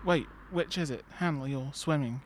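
A man's voice: on the high side, normal speed, close to an everyday voice. A man plays a bored teenage boy with a Stoke accent, glum and sarcastic. He sounds fed up and gives dry comebacks. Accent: Stoke-on-Trent.

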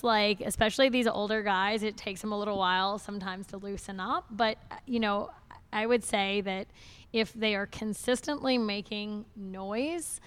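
A woman's voice: medium pitch, fairly bright tone, clear, resonant tone. A woman veterinarian answering a question on a live panel, conversational and confident.

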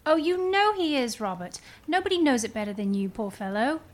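A woman playing a sharp-tongued, indignant wife and mother in a live radio play reading. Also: high-pitched, big pitch swings, brisk.

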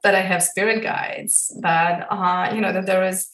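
A woman from Croatia speaks as an interview guest, feeling her way through each thought with fillers and restarts. Her voice sits at an ordinary middle pitch. The sound is roomy, and she is set back from the microphone. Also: fairly even intonation, a bit bright, far from the mic. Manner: searching, halting, many fillers and restarts. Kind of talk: reflective personal interview.

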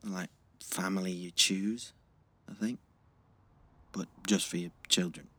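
A gentle teenage boy with a low voice. He is understated and thoughtful, a little hesitant, in the natural style of an audio play. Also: fairly even intonation, takes it slowly, exaggerated voice. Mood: quiet banter. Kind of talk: naturalistic audio drama dialogue.

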